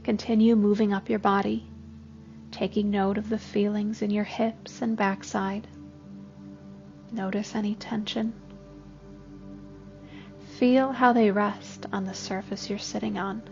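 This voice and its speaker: Female meditation guide, gentle and very measured, with noticeable vocal fry; slightly dull recording over music. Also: medium pitch, fairly even intonation. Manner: gentle, unhurried instruction. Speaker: therapist guiding a meditation. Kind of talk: scripted guided body scan meditation. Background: soft music.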